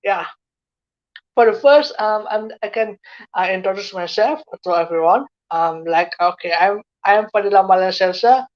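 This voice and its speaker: A woman's voice: mid-range voice, moderate pace, lo-fi sound. A woman from Indonesia with an Indonesian accent, a guest youth speaker answering interview questions in English with many fillers and restarts. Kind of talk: online interview.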